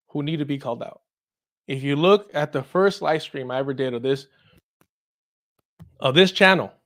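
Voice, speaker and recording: A man hosting a casual political commentary show in an irreverent, sweary, chuckling manner. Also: a little high. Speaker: host.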